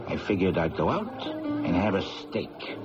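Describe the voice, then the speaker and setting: A man's voice: sits low, sing-song, a little slow. An actor playing a roguish, hard-drinking man in a radio drama, breezy, wry and unrepentant about being caught as an impostor. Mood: confessional. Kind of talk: old-time radio drama acting. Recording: dull radio broadcast with loud music.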